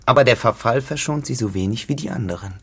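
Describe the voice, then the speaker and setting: An actor playing a lonely, accusing farmer in a literary radio drama, with a husky voice. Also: male voice, medium pitch, quick pace, a bit bright. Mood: accusing, grave. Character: lonely, accusing, menacing male farmer, a spurned rival.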